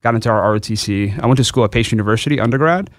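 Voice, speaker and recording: A man, answering interview questions as a guest in a relaxed storytelling way. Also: mid-range voice, no room sound. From New York City. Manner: quick, relaxed storytelling with fillers. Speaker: guest, veteran and company co-founder.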